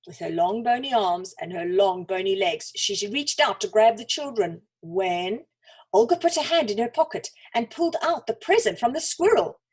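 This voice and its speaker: A woman reads a children's story expressively, with dramatic pauses and deliberate emphasis. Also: on the high side, a little mellow, husky.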